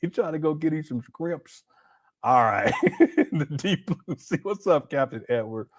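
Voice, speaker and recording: A man's voice: fairly high pitch, animated, unhurried. A man commenting live on a video clip, laughing often and teasing with sarcasm. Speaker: commentator reacting to a livestream.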